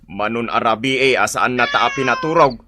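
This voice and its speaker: An actor playing a stubborn, evasive village husband who grumbles, in an Ilocano radio drama. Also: male voice, medium pitch, brisk, heavy background noise, natural voice. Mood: irritable.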